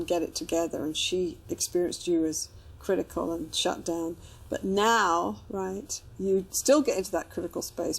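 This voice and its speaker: Woman therapist, bit husky, keeps rephrasing stuff and asking if that's right. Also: on the low side, low-quality recording. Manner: repeats and rephrases, checks understanding with questions. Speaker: couples therapist. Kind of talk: probing therapy conversation.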